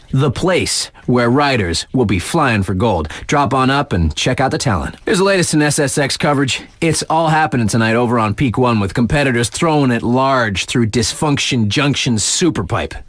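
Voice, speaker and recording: An actor playing a hip, easygoing radio DJ in a video game, giving casual mountain event updates with wry, colloquial asides. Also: male voice, mid-range voice, dry recording. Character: laid-back hip radio DJ for a snowboard mountain.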